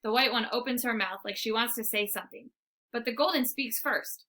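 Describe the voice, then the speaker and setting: A woman reading a children's book aloud as an expressive storyteller. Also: on the high side, fairly quick.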